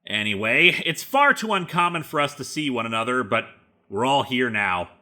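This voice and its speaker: A man's voice: medium pitch, very animated, normal speed, pushed and bright. A man playing the take-charge leader of a group of friends in an amateur horror comedy, speaking emphatically and giving directions. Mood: announcing, jovial.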